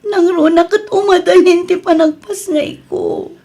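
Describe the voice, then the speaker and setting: Radio drama casting: actress as distraught pregnant young daughter, extremely high-pitched, sobbing and anxious. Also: female voice, fairly even intonation, a little slow, mellow. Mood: worried, pleading. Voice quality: tearful, sobbing delivery. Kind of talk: Ilocano radio drama acting.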